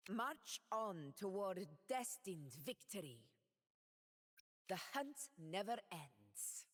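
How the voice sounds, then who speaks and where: Game casting: a commanding warrior ruler, unhurried and resolute, with roaring battle shouts. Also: female voice, mid-range voice, very animated, big-room sound. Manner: slow, measured and grand in speech; roaring battle shouts. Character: proud warrior woman ruler, fierce and commanding. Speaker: game character. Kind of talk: video game voice lines.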